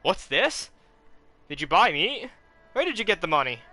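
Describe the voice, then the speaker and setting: A man's voice: sits high, sing-song, normal speed, pushed and bright, faint music behind, pitched above the performer's own voice, full character voice. A man voicing a stern, worried middle-aged farmer uncle in a storybook reading, clipped and scolding. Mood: harsh. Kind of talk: audiobook character voice.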